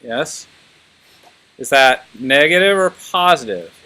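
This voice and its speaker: A man's voice: medium pitch, expressive, takes it slowly, bright, forceful tone. A man teaching a class informally, thinking aloud and prodding students with questions.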